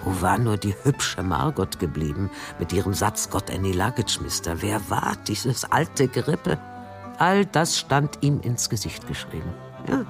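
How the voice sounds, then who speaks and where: A woman's voice: rumbling low, big pitch swings, measured pace, over music, heavily acted. An older actress playing a weary, sardonic former spy, narrating her memories dryly in a radio play. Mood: bitter reflection. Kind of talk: radio play narration, first-person memoir.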